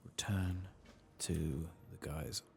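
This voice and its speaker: Male gaming ASMR host: deep, creaky, soft-spoken with some whispering, unhurried, dryly self-deprecating. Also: fairly even intonation, slight background noise.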